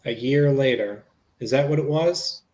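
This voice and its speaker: A man's voice: medium pitch, a little slow, a little mellow, natural voice. A man reading the part of a police interviewer in a dramatic transcript reading, asking conversational, matter-of-fact questions. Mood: probing, matter-of-fact.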